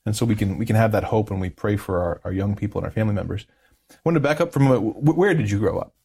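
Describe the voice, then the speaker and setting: A man's voice: on the low side, fast, creaky, darkish tone, slightly rough. A man hosting a conversational interview, with tentative, circling phrasing and frequent check-ins with the listener. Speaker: interview host.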